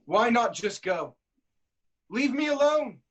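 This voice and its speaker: A woman's voice: on the high side, big pitch swings, slow, dull audio, heavily acted. A woman playing a proud, suspicious king in a staged reading of Greek tragedy, with stern declamatory delivery. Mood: angry. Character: proud, suspicious, hot-tempered male king of Thebes.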